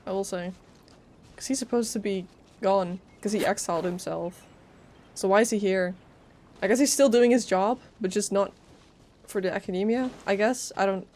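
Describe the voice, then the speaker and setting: A woman's voice: medium pitch, a little fast, pauses often, a little mellow. Chatty woman streaming a game. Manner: chatty, playful. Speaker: live streamer. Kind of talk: casual game stream commentary. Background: faint game music.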